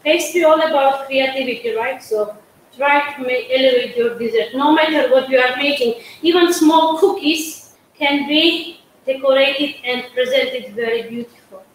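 A woman's voice: high-pitched, moderate pace. A middle-aged woman from Georgia with a Georgian accent, demonstrating a cake in chatty, broken English and coaxing her viewers along. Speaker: pastry chef. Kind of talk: live cooking demonstration. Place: large studio kitchen, indoors. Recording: echoey studio kitchen, faint hum.

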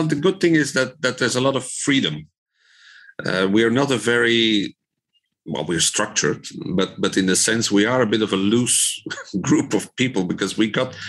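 A man's voice: lowish voice, fairly bright tone. A man in his fifties from Belgium answering in a conversational podcast interview, rephrasing as he goes. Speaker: interview guest, trade association director.

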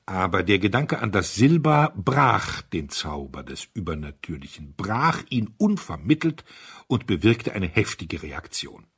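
A man reads a story as an audiobook narrator. His lowish voice is strongly husky and breathy. His intonation moves widely and dramatically. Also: very animated, a little slow, a bit bright.

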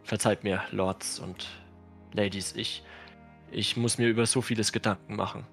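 A man's voice: fairly low pitch, fairly even intonation, moderate pace, a bit bright, faint music behind. A man playing a grieving, troubled northern lord in a fantasy role-play, subdued and formal. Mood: grieving, resolute.

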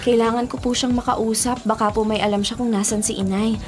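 Woman acting high-pitched, anxious young heroine. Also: fairly even intonation, brisk, natural voice. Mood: determined, worried. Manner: earnest, questioning. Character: anxious, determined young woman seeking her mother. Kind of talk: Filipino radio drama acting. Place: small radio studio. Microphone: studio condenser microphone. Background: dramatic music bed.

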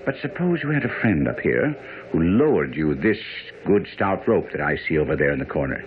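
A man's voice: rumbling low, very animated, fairly quick. A man acting as a self-assured master detective in a radio drama, precise, commanding and didactic. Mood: brisk, instructive. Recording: muffled, noisy radio broadcast.

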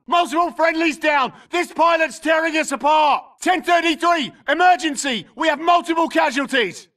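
High-pitched shouting combat soldier. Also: male voice, distant mic, full character voice. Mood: alarmed, desperate. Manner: clipped, urgent, barking short radio-style phrases. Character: tense, urgent futuristic infantry soldier in firefight. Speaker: voice actor in a video game. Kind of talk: shouted military combat callouts.

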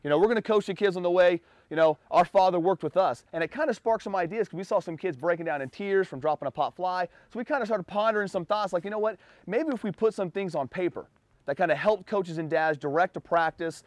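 A man's voice: high voice, quick pace, no room sound. An American man in his thirties giving an informal, earnest motivational talk as a youth baseball coach, speaking outdoors into a lapel mic.

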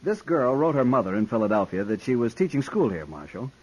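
A prim, pompous city gentleman in a 1950s radio western. He speaks in a clipped, haughty way, his husky voice swinging widely in pitch. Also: very animated, a little fast. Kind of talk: 1950s radio drama acting. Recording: dull old radio broadcast.

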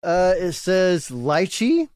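A man co-hosting a casual podcast, wry and quick with jokes. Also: high voice, big pitch swings, a little mellow, no room sound, studio quality. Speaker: podcast co-host. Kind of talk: casual chat.

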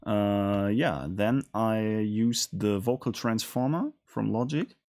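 A man hosting a casual music production tutorial in a studio, talking in a hesitant, halting way. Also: lowish voice, darkish tone. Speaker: tutorial host.

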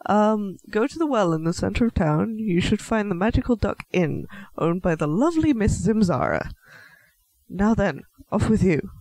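Casting: man voicing a small, nervous male half-elf shopkeeper, high voice, swooping delivery, flustered and stammering, fantasy audio roleplay. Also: expressive, normal speed, a little mellow, no room sound, low-quality recording. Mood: polite, brisk. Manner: flustered, stammering, apologetic, politely chatty. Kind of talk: scripted fantasy audio roleplay addressed to the listener.

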